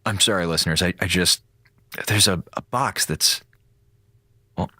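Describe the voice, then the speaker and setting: Low-voiced, wisecracking space broadcaster. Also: male voice, sharp tone, dry recording, exaggerated voice. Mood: baffled. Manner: casual, rambling, sarcastic, exclamatory. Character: wisecracking, easily distracted male space station radio broadcaster. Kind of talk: audio drama, chatty monologue to listeners.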